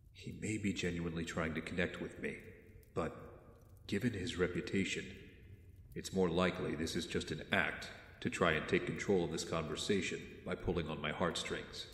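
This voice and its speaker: An actor playing a guarded young wealthy heir in an audio drama, brooding and wary, with a measured, terse delivery. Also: male voice, low-pitched, fairly bright tone, slightly rough. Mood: brooding, analytical.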